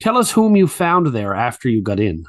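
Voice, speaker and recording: A man's voice: mid-range voice, big pitch swings, normal speed, lightly acted. A man playing a methodical, probing 1890s prosecuting attorney, questioning formally and precisely in a dramatized trial reading. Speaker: voice actor reading a trial transcript. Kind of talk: dramatized courtroom transcript reading, examination.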